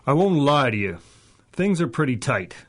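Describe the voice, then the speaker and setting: An actor playing an irritable, slangy sidekick to a small-time swindler in a 1930s-style radio drama. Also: male voice, mid-range voice, big pitch swings, moderate pace. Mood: businesslike. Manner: blunt, slangy.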